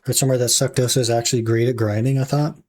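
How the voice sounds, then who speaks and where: A young man streaming a game, his voice gone a little raspy from talking. He muses aloud to his chat in a relaxed way while he plays. Keyboard typing can be heard behind him. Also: medium pitch, fast talker. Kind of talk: casual gaming livestream chat.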